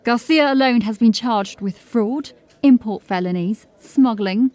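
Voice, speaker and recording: A woman playing a diligent, drily witty police constable in a fantasy audio drama, articulate and measured. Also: a little high, darkish tone, no echo, lightly acted. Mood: dry, informative.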